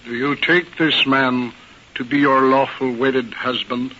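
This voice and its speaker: An actor playing a solemn, formal preacher in an old-time radio drama, with a ceremonial, measured manner. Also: male voice, medium pitch, little pitch movement, bright, forceful tone. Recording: dull, noisy old radio recording.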